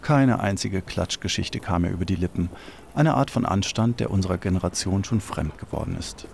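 Tiefe, knarzige Männerstimme, Lesung. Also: male voice, low voice, gravelly, slight background noise. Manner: calm, measured reading. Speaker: narrator reading translation. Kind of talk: scripted literary reading.

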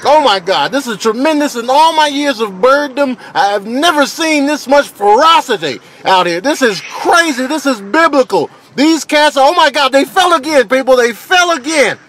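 A man voicing an excitable bird fight commentator in a comic animal voiceover, with a dramatic, goading delivery. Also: very high voice, moderate pace, few pauses, a little hiss or hum, poor audio. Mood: excited, awestruck.

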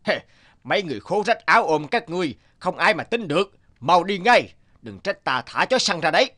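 A man's voice: high-pitched, big pitch swings, a little fast, bright, forceful tone, heavily acted. An actor playing a haughty middle-aged rich man in a fairy-tale cartoon, scornful and barking orders. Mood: contemptuous.

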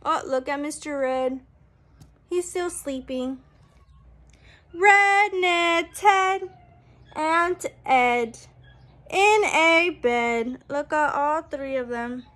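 A young woman, a preschool teacher, reading a picture book aloud to small children in an animated, sing-song, exaggerated way. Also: high-pitched, drawn-out words, long pauses. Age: young adult. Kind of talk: read-aloud story time for small children. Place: small room at home, indoors. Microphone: phone at arm's length.

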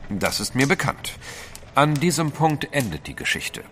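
An actor in a German audio drama playing a cool, sceptical gentleman adventurer with a formal, haughty manner. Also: medium pitch, very animated, normal speed. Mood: amused, sceptical.

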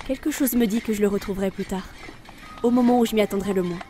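A woman's voice: medium pitch, steady tone, fast, warm tone, a little hiss or hum, close to an everyday voice. An actress playing a weary, brooding female reaper of souls in an introspective audio drama narration. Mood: resolute. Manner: solemn, introspective.

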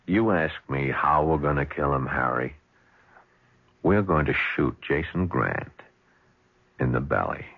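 An American actor playing a bitter, intense war veteran bent on revenge in a seventies radio drama. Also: male voice, a bass voice, animated, a little slow, gravelly, long pauses, breathy edge, exaggerated voice. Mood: cold, menacing. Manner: intense, goading. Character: bitter, vengeful male war veteran plotting murder. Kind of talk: 1970s radio drama acting. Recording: dull old radio broadcast.

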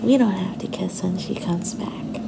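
Woman acting young girlfriend, affectionate, a bit possessive, soft whispery ASMR delivery, gravelly creak, noisy ambient recording. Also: medium pitch, measured pace. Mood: soft, confiding. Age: young adult. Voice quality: soft, often breathy and whispery.

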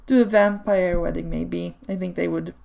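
A woman whose first language is Swedish, chatting casually over gameplay footage in a relaxed way, with frequent ums and small laughs. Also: fairly low pitch, normal speed, gravelly. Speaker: video creator. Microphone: home desk microphone.

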